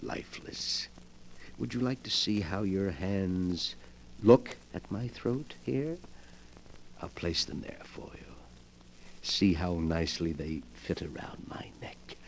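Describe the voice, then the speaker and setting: Sly, morbid gentleman, low-voiced. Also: lively intonation, slow, a little hiss or hum, exaggerated voice, low-quality recording. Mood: hushed, ghoulish. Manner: effusively polite, theatrical, relishing his words. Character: sly, morbid invalid gentleman gloating over macabre collection. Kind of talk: 1940s radio mystery acting. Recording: vintage 1940s radio broadcast.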